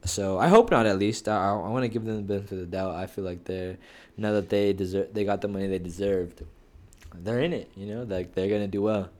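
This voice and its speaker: A young man with a fairly low voice talks loosely on a podcast. He rambles, restarts his thoughts and peppers every sentence with slang. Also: brisk. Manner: slangy, rambling, says "bro" and "dog" a lot. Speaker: podcast co-host. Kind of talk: casual chat.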